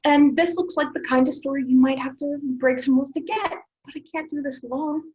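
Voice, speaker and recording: A woman's voice: high voice, fairly even intonation, a little fast, a little mellow, highs cut off, lightly acted. A woman playing a determined young team leader in her twenties in a screenplay table read. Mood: urgent, persuasive.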